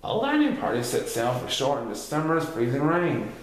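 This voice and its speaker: A man's voice: medium pitch, huge pitch swings, normal speed. A man reading a written history story aloud as a narrator, occasionally stumbling over a word. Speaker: reader. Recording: very echoey, reverberant room.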